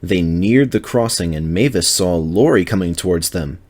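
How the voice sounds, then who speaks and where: A man's voice: low voice, expressive, normal speed, a little mellow. A man reading a children's story aloud as narrator, in a scripted, dramatic storytelling style.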